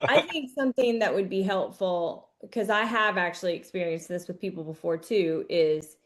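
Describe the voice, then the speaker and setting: A woman co-hosting a podcast, chatting casually and thinking out loud, often restarting her sentences and acting out little quoted asides. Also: fairly low pitch, moderate pace. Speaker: podcast co-host.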